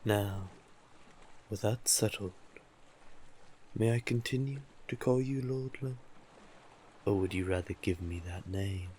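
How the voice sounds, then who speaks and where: A man's voice: lowish voice, slow pace, long pauses, darkish tone, slight background noise. A man voicing a monstrous but civil male sea witch in an intimate roleplay audio drama, soothing, teasing and courtly, lingering on endearments.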